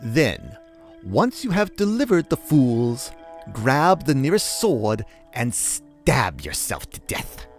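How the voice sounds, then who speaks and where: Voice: medium pitch, huge pitch swings, soft background music, full character voice. Wildly swooping wicked puppet-master spirit. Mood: commanding, wicked. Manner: mocking, florid, drawn-out phrasing. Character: gleeful, theatrical, wicked puppet-master spirit, not technically demon. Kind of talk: fantasy audio drama acting.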